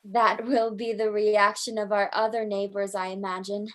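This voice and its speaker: A woman's voice: medium pitch, little pitch movement, moderate pace, fairly bright tone, lightly acted. A woman playing a gracious, courteous young daughter in an old-time radio style drama. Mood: apologetic, courteous. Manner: courteous, proper. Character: polite American daughter, young gracious new manor owner.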